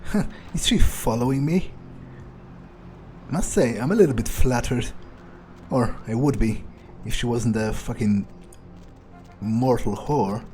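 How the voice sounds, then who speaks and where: A man's voice: mid-range voice, a little slow, darkish tone, soft background music, natural voice. A man roleplaying a callous, amused vampire in a video game, musing in a drawling, self-satisfied way. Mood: musing, disdainful. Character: smug, amused, callous male vampire schemer. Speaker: gamer playing in character.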